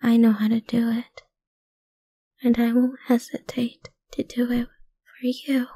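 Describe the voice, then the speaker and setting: Slow, dark, hushed yandere mafia princess, acted by a woman. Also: a little high, little pitch movement, no echo. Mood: calmly menacing. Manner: soft, breathy, slow, often dropping into whispers. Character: possessive, sweetly menacing lovesick daughter of a mafia boss. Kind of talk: ASMR roleplay. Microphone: close mic, dry.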